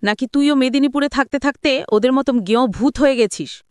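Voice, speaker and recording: A woman's voice: high-pitched, a little fast, a little mellow, clean tone, no room sound, clean studio sound, voice pushed up, lightly acted. A woman voicing a domineering, resentful middle-aged mother in an audio story, nagging and snobbish as she scolds. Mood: indignant scolding.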